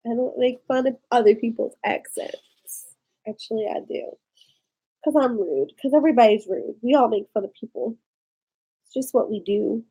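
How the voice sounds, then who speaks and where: A woman's voice: on the high side, moderate pace, pauses often, warm tone, breathy edge, rough recording. A woman from Maryland with a Maryland accent, chatting in a rambling way with viewers on a live stream. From Maryland, USA. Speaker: vlogger. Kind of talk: casual live-stream chat.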